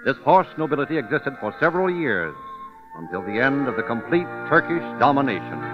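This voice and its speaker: A man's voice: medium pitch, sing-song, fairly quick. A man narrating scripted stories as an announcer in dramatic, emphatic old-time radio style. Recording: dull old radio recording with music. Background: loud music.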